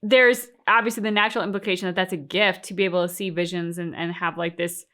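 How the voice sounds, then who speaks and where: Bright-voiced woman chatting about a game. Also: lowish voice, steady tone, quick pace. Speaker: co-host of a game reaction channel. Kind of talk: casual discussion and commentary.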